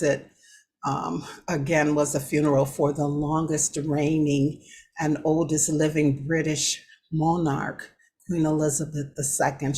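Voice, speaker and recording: An American woman with a low-pitched voice hosts a casual talk show. She moves through news and personal stories conversationally, taking her time and pausing between phrases as she finds her words. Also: a little hiss or hum. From United States.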